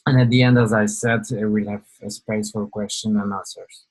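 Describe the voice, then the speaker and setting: A young man leads an online webinar in a low, flat voice. He talks in an informal, halting way, filling pauses with "uh" and "like" and often restarting a sentence. It sounds like a video call. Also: breathy edge, low-quality recording. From Poland. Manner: hesitant, many fillers and restarts. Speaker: webinar presenter.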